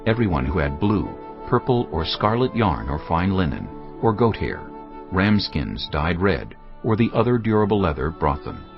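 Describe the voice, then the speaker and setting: A man narrating scripture in a measured, reverent way. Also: low-pitched, big pitch swings. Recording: dull studio recording with soft music. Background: soft background music.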